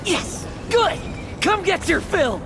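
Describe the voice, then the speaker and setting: Bold, taunting warrior, theatrical game delivery, very lively range, loud score behind. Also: male voice, very high voice, takes it slowly, exaggerated voice. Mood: defiant, exhilarated. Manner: declamatory, theatrical. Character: bold battle-hungry warrior, defiant and taunting. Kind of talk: dramatic video game acting. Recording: loud music over the voice.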